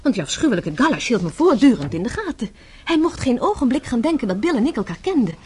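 An actress playing a composed, tough-minded young wife in a radio drama, explaining herself articulately. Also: female voice, fairly high pitch, sing-song, fast, a little mellow, husky, exaggerated voice. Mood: confiding, assured. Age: young adult. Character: self-possessed, tough-minded young wife explaining her undercover scheme.

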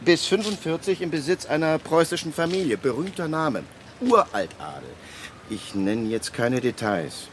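An actor playing an excitable lawyer in a radio drama, speaking emphatically with dramatic pauses. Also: male voice, fairly high pitch, a little hiss or hum. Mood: confiding, emphatic. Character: excitable male lawyer, indignant, relishing his personal tale.

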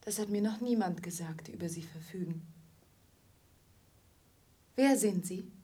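A weary young female musician, speaks softly in a breathy voice. She is reserved and plain-spoken, wary of whoever addresses her. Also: mid-range voice, unhurried. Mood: subdued, weary. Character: weary, guarded young female café musician, ill-treated. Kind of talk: German radio drama acting.

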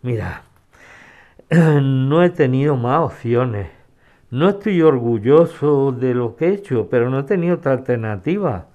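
A man's voice: mid-range voice, animated, a little slow, warm tone, muffled recording, lightly acted. A man acting an evasive older man in an audio drama, hesitant and defensive as he gets cornered. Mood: defensive, ashamed. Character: evasive older man cornered about leaving his home.

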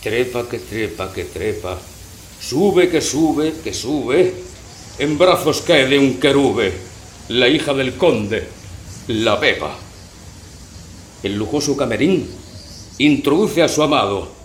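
A man recites comic verse as a theatrical narrator, unhurried and dramatic, at a medium pitch. The room echoes and there is clear noise around his voice. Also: expressive. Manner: dramatic, declamatory, drawn-out phrasing. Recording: roomy echo, loud background noise.

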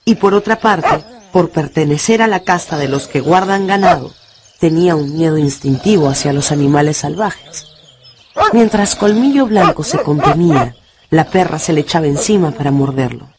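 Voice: mid-range voice, lively intonation, moderate pace, background noise. A narrator telling a story from a script for a radio drama. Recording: music bed behind the voice.

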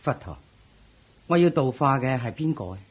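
An actor playing an earnest, curious disciple in a Cantonese radio drama, respectful and inquisitive, with a husky voice and Hong Kong accent. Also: male voice, mid-range voice, animated, normal speed.

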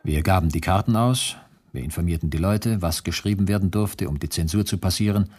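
A prisoner clerk in a radio drama. His voice is low and slightly raspy. He speaks soberly and precisely, holding all emotion back. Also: brisk, a little mellow, soft background music. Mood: sober, restrained. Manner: matter-of-fact, restrained, precise. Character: sober male prisoner clerk recounting camp work. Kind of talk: radio play narration and dialogue.

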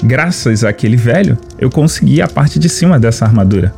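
A man's voice: mid-range voice, lively intonation, fairly quick, vocal fry, darkish tone. Man acting a hopeful young-hearted adventurer, music behind. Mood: grateful, musing. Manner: musing aloud, murmuring to himself. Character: naive, hopeful male adventurer in shining armor. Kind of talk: audio drama acting.

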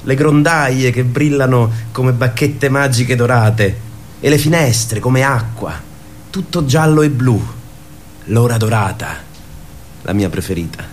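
An actor playing a dreamy, earnest young man in a radio play, telling his story with hushed pauses. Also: medium pitch, a little hiss or hum, natural voice. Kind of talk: radio theatre acting.

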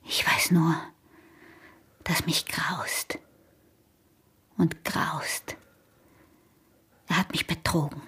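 An actress playing a scheming, seductive peasant wife in a radio drama, with a husky, breathy voice and an Austrian rural accent. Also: female voice, lowish voice, full character voice. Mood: whispered desire, disgust. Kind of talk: intense radio drama acting in rural dialect.

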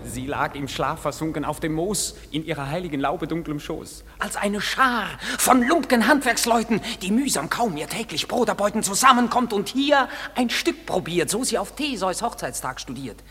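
A man playing a mischievous, excitable woodland sprite in a radio drama, declaiming rhymed verse theatrically with a husky edge. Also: high voice, moderate pace, hardly any pauses, long reverb tail, heavily acted. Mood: gleeful boasting. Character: mischievous gleeful male woodland sprite, servant to fairy king. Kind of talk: classic stage-verse radio drama acting.

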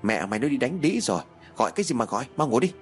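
A man's voice: mid-range voice, very animated, rapid-fire, faint music behind, lightly acted. A man voicing a cruel middle-aged grandmother who scolds coarsely and hurls threats. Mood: furious.

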